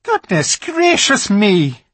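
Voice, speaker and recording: A reader voicing an excitable, polite male fawn in an audiobook, formal and flustered. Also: mid-range voice, a little slow, no echo, pitched above the performer's own voice. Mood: flustered, delighted. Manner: flustered, formal, sing-song. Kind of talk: audiobook reading.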